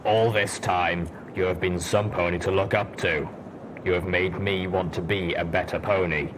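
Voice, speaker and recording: A man voicing a grave, blunt ghoul pony soldier in a storybook reading, speaking slowly and solemnly. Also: deep voice, steady tone, noisy background, natural voice. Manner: slow, solemn.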